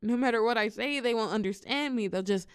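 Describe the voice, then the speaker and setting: A woman's voice: a little high, brisk, clean tone, no echo, lightly acted. A young woman playing a shy, tender college girl confiding in a close friend, hesitant yet playful, in an intimate audio roleplay. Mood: vulnerable, hesitant. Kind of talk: intimate scripted audio roleplay, one side of a conversation.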